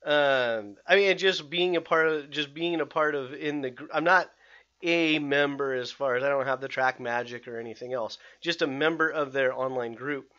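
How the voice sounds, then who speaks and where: A man hosting a podcast, chatting casually in an excitable, rambling way with many restarts. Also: mid-range voice, normal speed. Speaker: podcast host. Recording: slightly dull lo-fi sound.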